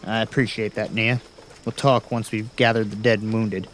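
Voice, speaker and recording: Man playing a low-voiced, plain-spoken army general. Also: level tone, moderate pace, a little hiss or hum, natural voice, poor audio. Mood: sober. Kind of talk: fantasy audio drama acting.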